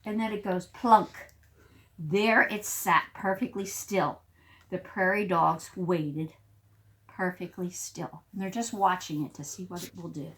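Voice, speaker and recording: A woman reading a picture book aloud to young children as a teacher, expressive and pausing to explain things. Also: on the low side, slight background noise. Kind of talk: animated read-aloud storytelling.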